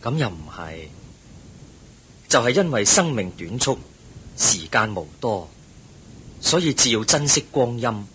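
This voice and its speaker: An actor with a Hong Kong Cantonese accent playing the Buddha in a radio drama, a calm, wise teacher who explains things patiently and in measured phrases. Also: male voice, breathy edge, full character voice. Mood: patient teaching. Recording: noisy recording with low rumble.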